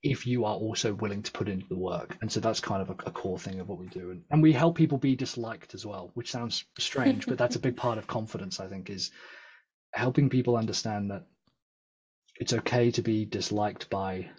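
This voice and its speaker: A man's voice: lowish voice, fairly quick, darkish tone, slightly rough, lo-fi sound. A British man, a podcast guest and agency founder, talking earnestly and upbeat in a casual interview, often restarting his sentences as he thinks. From England.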